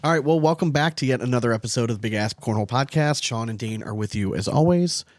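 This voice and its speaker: Fast-talking man hosting a podcast. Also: mid-range voice, lively intonation, faint music behind. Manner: profane, slangy, bro-ish talk. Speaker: podcast host. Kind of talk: casual sports banter.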